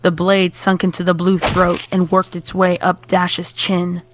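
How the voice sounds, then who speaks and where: A woman's voice: on the low side, monotone, unhurried. A woman narrator reading a scripted horror story.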